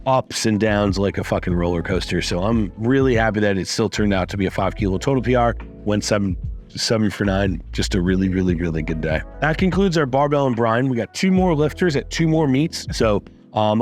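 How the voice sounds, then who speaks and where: Raspy low-voiced coach, cheerful and profane. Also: male voice. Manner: upbeat, swears freely, many fillers. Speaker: strength coach. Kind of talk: casual vlog recap.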